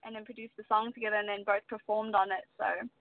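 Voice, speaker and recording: A woman with a South African accent, a singer-songwriter talking casually as an interview guest, chatty and quick to laugh, with plenty of fillers. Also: medium pitch, level tone, creaky. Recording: dry, tinny phone-like line.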